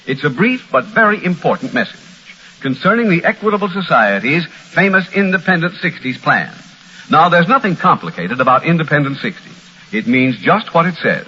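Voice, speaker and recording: Bright, lively American radio announcer, old recording. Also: male voice, fairly high pitch, breathy edge. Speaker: radio announcer and sponsor spokesman. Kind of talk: 1940s radio commercial read. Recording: muffled old radio recording, faint hiss.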